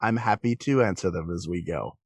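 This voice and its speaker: A man's voice: deep voice, brisk, slightly rough, dry recording, studio quality. A man talking casually as a guest performer in a podcast interview.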